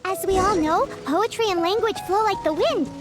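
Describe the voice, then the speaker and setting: A woman voicing a tiny, childlike, eager fairy companion in a game, perky and squeaky. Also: moderate pace. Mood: chirpy, eager. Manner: perky, speaks of herself in third person. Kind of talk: animated game character dialogue. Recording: music behind the voice.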